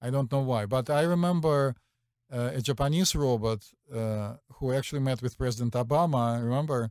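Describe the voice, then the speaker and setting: A man's voice: medium pitch, fast talker, darkish tone, no room sound, studio quality. A man with a Russian accent hosting a casual chat, rambling and hesitant with many fillers. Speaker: podcast host.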